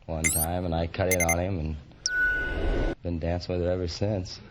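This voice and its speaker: A man's voice: low-pitched, flat delivery, normal speed. An American man answering quiz show questions as a contestant, in plain, brief, slightly hesitant replies. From Illinois, US. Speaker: quiz show contestant, motorcycle police officer. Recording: muffled, very noisy vintage radio recording.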